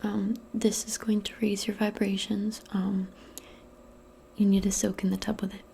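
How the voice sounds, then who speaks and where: Female ASMR role-player, modern witch: breathy and hushed, mellow tone with vocal fry, informal and gentle, faint noise behind. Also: mid-range voice, little pitch movement, normal speed. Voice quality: breathy, half-whispered. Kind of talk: soft-spoken ASMR personal-attention role play.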